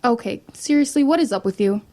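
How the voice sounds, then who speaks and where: A woman as a wry, high-voiced teenage girl. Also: expressive, fairly quick, darkish tone, natural voice. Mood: casual, teasing. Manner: casual, dry, offhand. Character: guarded, wry teenage girl, protective older sister. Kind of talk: audio drama acting.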